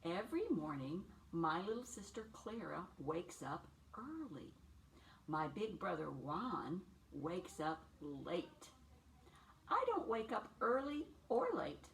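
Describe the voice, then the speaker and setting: An older woman leads a children's storytime in a fairly low voice with very lively intonation. She speaks in simple, deliberate phrases and often turns to the young listeners with questions. The mic sounds a little distant. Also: vocal fry. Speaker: children's storytime teacher reading a picture book aloud. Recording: distant mic with faint background noise.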